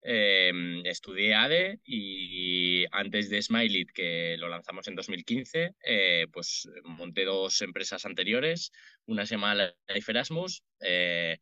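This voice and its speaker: A man's voice: low voice, animated, takes it slowly, pushed and bright, clear, resonant tone, dry recording, dull audio. A man in his late thirties with a Madrid Spanish accent, talking informally and conversationally as an interview guest. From Madrid, Spain. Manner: informal, colloquial. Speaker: podcast guest, entrepreneur.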